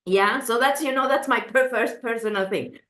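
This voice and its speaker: A woman's voice: on the high side, normal speed, fairly bright tone. Chilean woman, earnest, roomy sound. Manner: earnest, many restarts and "you know". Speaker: gender adviser, interview guest. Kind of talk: thoughtful interview answers. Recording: roomy echo.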